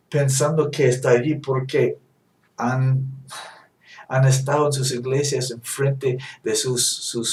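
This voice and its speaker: A man's voice: medium pitch, a little mellow. A man whose first language is English, preaching earnestly in Spanish with frequent restarts and self-corrections. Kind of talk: teaching sermon. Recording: echoey room, distant mic.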